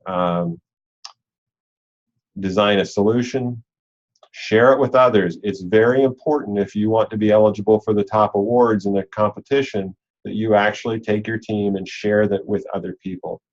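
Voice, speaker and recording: A man with a fairly low voice talks coaches through material on a conference call. His delivery is informal and instructional, circling back to key points. The sound is dull and a bit lo-fi, like computer call audio. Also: fairly even intonation, moderate pace. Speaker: robotics league organizer and coach leading a call.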